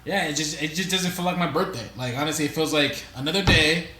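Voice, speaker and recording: A man's voice: a little high, fast talker, sharp tone, roomy sound. A man in his twenties, co-hosting a podcast in casual chat, rambling with lots of fillers. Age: 20s. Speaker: podcast co-host.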